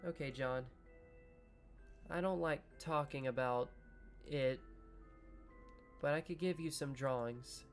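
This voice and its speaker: A shy, withdrawn teenage girl from a story game, read by a man in his own plain voice. The lines come slowly and hesitantly, at a middling pitch. Faint music sits behind.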